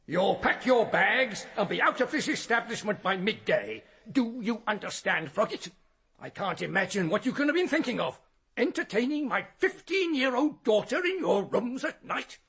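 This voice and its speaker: Gravelly, high-strained, bullying English headmaster. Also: male voice, very animated, moderate pace, a bit bright, full character voice. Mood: outraged, scornful. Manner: domineering, clipped, indignant. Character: outraged, overbearing bullying male English boys' school headmaster. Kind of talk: radio drama dialogue.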